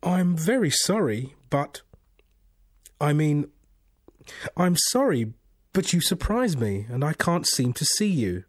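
A man's voice: lowish voice, measured pace, darkish tone, dry recording, clean studio sound, lightly acted. A man voicing a confused, anxious, apologetic everyman in a storybook tale, hesitant and polite. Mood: apologetic, flustered.

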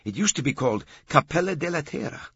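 A man's voice: fairly high pitch, expressive, quick pace, a bit bright, breathy edge, no echo, lo-fi sound, pitched above the performer's own voice, exaggerated voice. A man voicing a scornful, theatrical church docent in an audiobook. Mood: eager. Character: horrified, scoffing Italian male church docent.

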